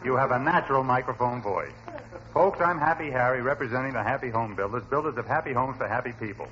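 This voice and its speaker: A man's voice: mid-range voice, quick pace, a bit bright, natural voice. A man playing a pushy, cheerful roving radio reporter, with slick announcer patter in 1940s comedy style. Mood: breezy, cheery. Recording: muffled vintage radio recording with hiss.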